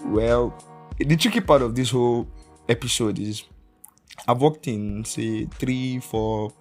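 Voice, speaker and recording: A man's voice: medium pitch, slow pace, soft background music. A young man in his early twenties hosting a casual solo podcast, rambling, correcting himself and laughing often. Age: young adult. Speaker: podcast host.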